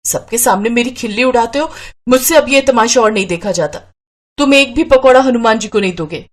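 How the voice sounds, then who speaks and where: A woman's voice: on the high side, a little fast, natural voice. A woman voicing a stubborn, exasperated wife who scolds and complains in a moral story. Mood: angry, threatening. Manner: sharp complaining. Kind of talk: Hindi moral story acting.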